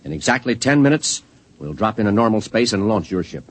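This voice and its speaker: An actor playing a calm, businesslike space commander in a 1950s radio drama. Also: male voice, on the low side. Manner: brisk, businesslike.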